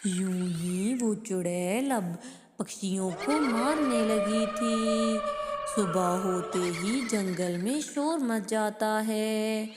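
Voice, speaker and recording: A woman's voice: medium pitch, drawn-out words. A woman narrating a scripted cartoon story. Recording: long reverb, music behind. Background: music.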